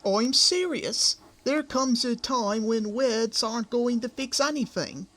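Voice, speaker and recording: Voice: medium pitch, takes it slowly, a bit bright. An actor playing a feisty, indignant little railway engine in a fan comic dub.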